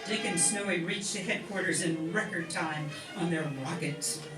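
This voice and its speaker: A woman's voice: fairly low pitch, normal speed, over music. A woman narrating a scripted radio play. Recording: echoey room, distant mic.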